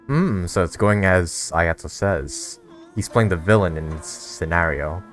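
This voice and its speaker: A man's voice: sits low, lively intonation, measured pace. A man giving casual let's-play commentary as he reacts to a game and reads out choices. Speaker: gaming streamer. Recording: game music behind the voice.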